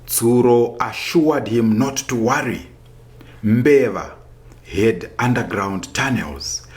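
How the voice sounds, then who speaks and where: Guy reading a folktale out loud, really expressive, takes his time, voice goes up and down a lot. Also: mid-range voice. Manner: deliberate, expressive storytelling. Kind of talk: scripted storybook narration.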